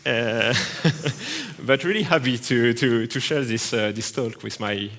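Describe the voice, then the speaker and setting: A man with a French accent giving a technical talk at a conference, halting often with fillers and restarts. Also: mid-range voice, expressive, a bit bright. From France. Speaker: conference speaker. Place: conference hall.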